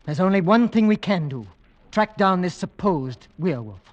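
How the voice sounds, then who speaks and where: An actor playing a decisive husband who plans a risky hunt, brisk and commanding, in an old radio drama. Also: male voice, high-pitched, lively intonation. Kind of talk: 1940s radio acting. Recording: dull old radio recording.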